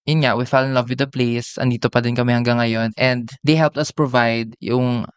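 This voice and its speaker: A man with a Filipino accent telling his story as an interview guest in casual, halting speech full of filler phrases. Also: mid-range voice, steady tone, clear, resonant tone, no room sound. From Philippines. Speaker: startup founder, interview guest. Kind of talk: casual conversational interview, code-switching.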